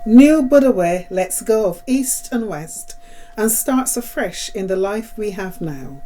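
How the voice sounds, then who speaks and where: A woman's voice: mid-range voice, animated, measured pace, dark tone, poor audio. A woman reading a scripted announcement to introduce and close a recorded talk series.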